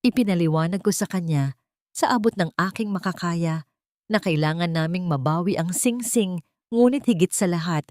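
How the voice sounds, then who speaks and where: A woman's voice: fairly low pitch, a little mellow, no echo, clean studio sound. A woman reading a scripted first-person story in a dramatic storytelling style. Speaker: story narrator.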